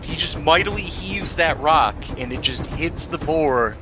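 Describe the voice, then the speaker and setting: A man's voice: fairly high pitch, animated, a little slow, over music. A man running a tabletop game, mixing improvised narration with casual, amused table talk. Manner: amused, laughs often. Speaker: game master.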